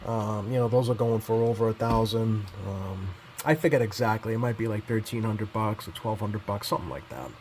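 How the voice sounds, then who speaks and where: Halting man talking over background noise. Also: lowish voice, darkish tone. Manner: halting, hesitant delivery heavy with ums and pauses. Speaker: repair technician presenting his bench work. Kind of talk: casual unscripted bench commentary.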